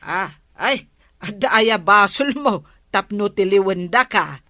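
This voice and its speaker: A polite, earnest village captain's wife in a radio comedy,. Her voice is bright and sharp, at an ordinary pitch, and the sound is dry and close. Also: expressive, takes it slowly, no echo. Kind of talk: radio comedy drama acting.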